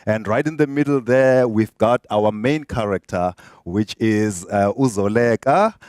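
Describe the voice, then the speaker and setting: A man's voice: lowish voice, a little mellow, no echo, clean studio sound. Hesitant man presenting. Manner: hesitant, many fillers and restarts. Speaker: student presenter introducing a role-play. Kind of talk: informal classroom presentation. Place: classroom or training room.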